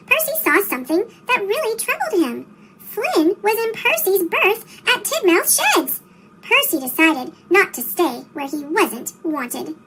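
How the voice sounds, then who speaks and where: A woman's voice: very high voice, fairly bright tone, slight background noise, rough recording. A woman reading a children's story aloud, her voice turned into a sped-up, childlike chipmunk sound. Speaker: storybook reader.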